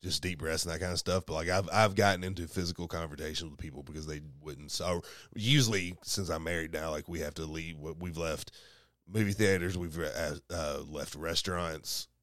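A soft-spoken man co-hosting a casual podcast chat, rambling with lots of restarts and quick laughs. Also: deep voice, fairly even intonation, no room sound, clean studio sound. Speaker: podcast co-host. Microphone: studio mic.

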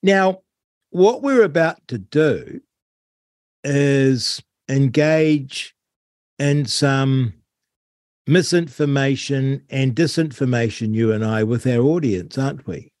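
A man hosts an interview in a relaxed, wry manner. He draws out words for emphasis, his voice sitting at a middle pitch. The sound is close and dry. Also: slow, no echo. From New Zealand. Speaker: host. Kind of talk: casual interview chat.

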